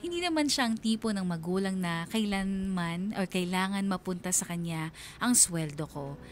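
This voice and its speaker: A woman's voice: medium pitch, lively intonation, moderate pace, faint music behind, lightly acted. A woman playing an earnest, dutiful young nurse who narrates her own story in a Filipino radio drama, reflectively.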